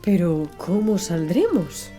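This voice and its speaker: A woman's voice: lowish voice, big pitch swings, takes it slowly, mellow, faint music behind. A woman voicing a polite, earnest and curious male professor in an audiobook. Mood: eager, curious. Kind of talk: audiobook character dialogue.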